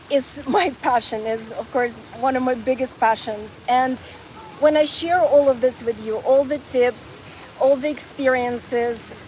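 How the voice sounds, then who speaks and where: Chatty high-voiced woman vlogging outdoors. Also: darkish tone, a little hiss or hum, poor audio. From Ukraine, raised in North Carolina. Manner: enthusiastic, chatty, repeats words as she talks. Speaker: travel vlogger. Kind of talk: live-streamed walking travel chat. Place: outdoors in a city park and square.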